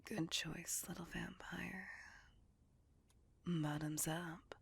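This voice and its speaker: A woman's voice: fairly low pitch, little pitch movement, extremely slow, full character voice. A woman voicing a possessive, seductive vampire in a whispered ASMR roleplay, doting on her lover with intimate, coaxing control. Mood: seductive, pleased. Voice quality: breathy whisper with husky, creaky edge. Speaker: voice actor.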